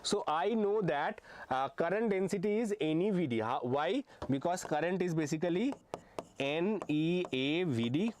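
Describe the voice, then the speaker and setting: A man's voice: on the high side, animated, moderate pace, a little mellow, a little hiss or hum. A young man teaching an online physics exam-prep lesson. He explains step by step, often hesitates with "uh" and checks in with "okay". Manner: frequent hesitations with uh and um.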